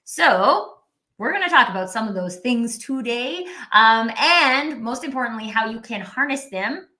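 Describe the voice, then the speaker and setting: Bright-voiced woman podcast host. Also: fairly high pitch, expressive, a little slow. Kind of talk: hosted interview introduction.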